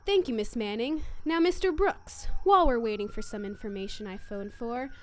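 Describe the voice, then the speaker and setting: High-voiced, methodical police inspector, played by a woman. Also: moderate pace, a little mellow, natural voice. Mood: probing. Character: cool, methodical police inspector in a murder mystery. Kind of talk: radio drama acting.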